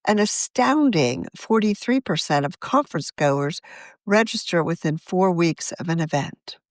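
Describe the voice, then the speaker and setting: Female narrator, lively pitch, measured article read, very dry recording. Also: no echo. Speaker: magazine editor reading own article.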